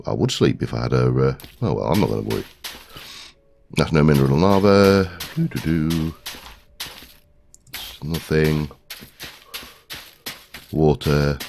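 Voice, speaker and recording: Very deep gravelly man with a cold. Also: a little slow, pauses often, darkish tone, slight background noise. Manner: rambling, talks to himself while searching, many ums. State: stuffy with a head cold. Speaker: gaming video host. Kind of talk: casual gameplay commentary.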